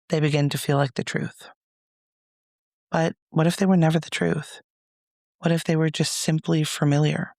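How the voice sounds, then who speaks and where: A man leading a guided meditation as a reflective monologue, speaking in a soothing, measured, gentle way with pauses. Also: on the high side, vocal fry, no room sound, clean studio sound.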